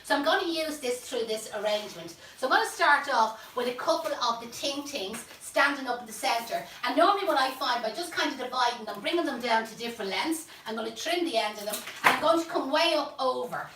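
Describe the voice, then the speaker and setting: A woman's voice: high voice, animated, fairly quick, few pauses, a bit bright, a little hiss or hum. A woman with a Dublin accent, hosting a live flower-arranging class and chatting her way through the demonstration. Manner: chatty, homely, jokes asides. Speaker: florist teacher and host. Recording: roomy, mic at a distance.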